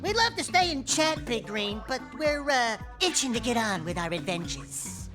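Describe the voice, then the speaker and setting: A brash little furry sidekick fires off nasal wisecracks in a high, wildly swinging voice. The game cutscene plays under clear music. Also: male voice, very animated, moderate pace. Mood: cocky. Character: wisecracking small male furry animal sidekick. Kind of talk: video game cutscene acting.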